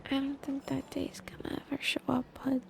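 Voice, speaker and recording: A woman's voice: sits high, monotone, a little fast, vocal fry, a little mellow, in a whisper. A woman giving casual commentary as she streams a video game. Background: game music.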